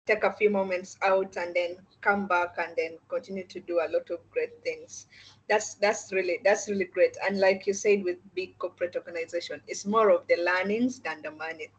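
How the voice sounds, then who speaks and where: A young woman from Uganda talks as a mentee in a casual chat. Her voice is husky and a little breathy, and she answers politely and affirmingly. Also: mid-range voice, steady tone, moderate pace, muffled recording. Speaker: mentee, graduate student. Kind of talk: casual video call chat.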